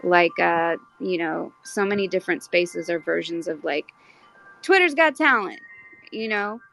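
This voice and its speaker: A woman's voice: lowish voice, animated, a little slow, vocal fry, fairly bright tone, soft background music, low-quality recording. A woman talking casually and reflectively in an online group discussion, earnestly thinking aloud and hedging as she goes. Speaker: speaker in an online audio community discussion.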